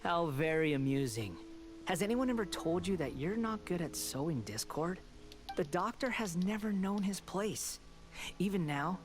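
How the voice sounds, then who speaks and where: A man's voice: high voice, lively intonation, normal speed, faint music behind. An actor playing an arrogant young man who believes he is a god, haughty and taunting in a theatrical video game style. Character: arrogant young male would-be god, mocking and grandiose. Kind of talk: video game dialogue acting.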